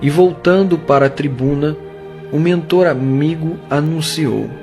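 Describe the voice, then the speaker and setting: A man narrating an audio drama from a script in a measured, literary storytelling manner. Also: fairly high pitch, darkish tone. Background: music.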